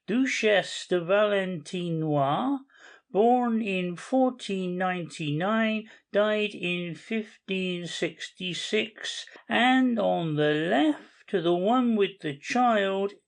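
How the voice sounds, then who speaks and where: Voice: mid-range voice, gravelly, heavily acted. An audiobook reader playing a pedantic, tireless male cathedral guide, declaiming facts in a dramatic reading. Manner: slow, declamatory recital of dates and facts.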